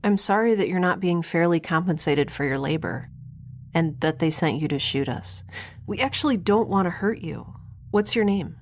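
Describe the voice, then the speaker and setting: An actress playing a curious young scientist in an audio drama, chatty, awkward and earnest in a naturalistic way. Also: female voice, fairly low pitch, expressive, moderate pace. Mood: friendly, earnest. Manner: chatty, awkward, earnest, rambles when nervous. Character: curious, nerdy, rambling young female scientist heroine.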